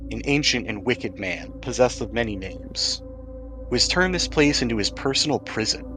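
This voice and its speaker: A man's voice: medium pitch, fairly bright tone, natural voice, low-quality recording. A man voicing the solemn writer of an ominous fantasy journal in a tabletop game, formal and portentous.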